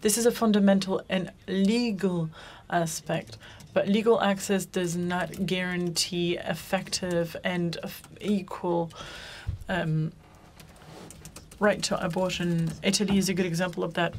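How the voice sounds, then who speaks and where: A lower-pitched woman introduces speakers at a conference. She speaks in a loose, unscripted way and keeps restarting and correcting herself. A faint hum sits under her voice. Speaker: panel moderator. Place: conference room.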